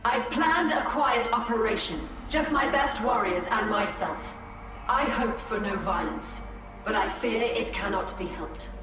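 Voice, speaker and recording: A solemn alien seer, speaking in a slightly high, deliberate voice. It rings through a long, hall-like reverb, with game music swelling behind. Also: female voice, lively intonation. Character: resolute Eldar seer and leader, female adult.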